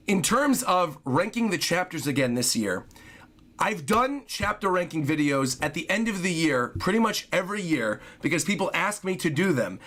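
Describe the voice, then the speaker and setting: Guy streaming with a fried scratchy voice, pitched kinda high, chatty and apologetic. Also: a bit bright. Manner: chatty, apologetic, thanks viewers often. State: hoarse, scratchy voice. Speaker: streamer reading viewer donations. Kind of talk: casual livestream chat.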